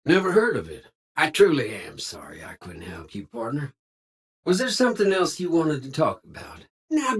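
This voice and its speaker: Game robot cowboy: lively, swooping Western American drawl, slightly rough-edged, folksy and quick on the draw. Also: male voice, on the high side, full character voice. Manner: drawling, colorful frontier slang. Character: folksy old-time cowboy security robot, cheerful yet trigger-happy. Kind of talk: video game character voice lines.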